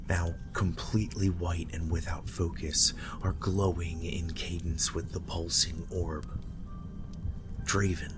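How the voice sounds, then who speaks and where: A man narrating scripted dark fantasy horror as a game master, with deliberate, ornate dramatic delivery. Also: low-pitched. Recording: music behind the voice.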